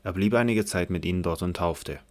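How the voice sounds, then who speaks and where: A man's voice: low-pitched, brisk. A man narrating scripture from a script as the storyteller of an audio play.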